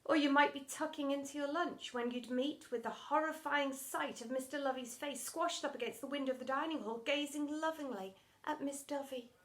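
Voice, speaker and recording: A woman with a fairly high voice narrates a children's storybook. Her delivery is expressive and theatrical, made for young listeners. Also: normal speed, reverberant. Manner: expressive, theatrical delivery with acted sound words. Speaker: teacher reading story aloud.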